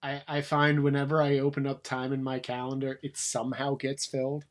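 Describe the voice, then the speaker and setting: A man's voice: mid-range voice, completely flat delivery, brisk. American man, candid and conversational. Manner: candid, colloquial, explanatory. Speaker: podcast guest, coach. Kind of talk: casual conversational storytelling.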